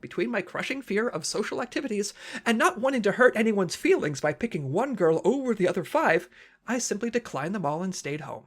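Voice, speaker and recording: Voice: mid-range voice, sing-song, fairly quick. An actor playing a nervous, awkward, wordy young male secret agent in an audio comedy drama. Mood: awkward, defensive. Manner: flustered, wordy, formal.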